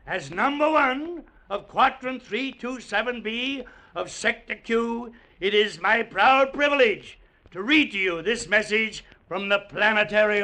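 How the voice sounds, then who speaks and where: An actor playing a pompous Martian commander, making formal, declamatory speeches in an old-time radio drama. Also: male voice, high voice, sharp tone, heavily acted, rough recording. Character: pompous Martian commander addressing his victorious troops.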